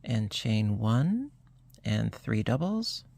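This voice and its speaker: A crochet instructor, slightly hoarse, calmly calling out each stitch in a step-by-step tutorial. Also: mid-range voice. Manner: calm, unhurried, talks through each stitch.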